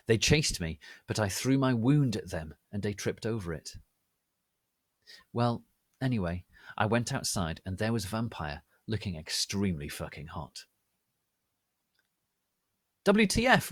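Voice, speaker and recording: A man narrating a story as a dramatic reading, in a deliberate and theatrical manner. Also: lowish voice, expressive, no room sound, studio quality.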